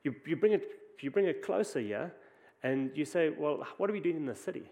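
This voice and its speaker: South African preacher in a reverberant hall. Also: male voice, fairly high pitch, lively intonation, fairly quick, darkish tone, lo-fi sound. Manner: conversational preaching with frequent restarts. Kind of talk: sermon.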